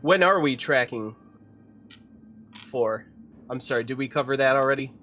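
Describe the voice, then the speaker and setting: A man's voice: mid-range voice, moderate pace, pushed and bright. A man playing a tabletop roleplaying game, asking questions casually on a dull, lo-fi recording. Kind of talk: casual chat. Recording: dull, lo-fi, background noise.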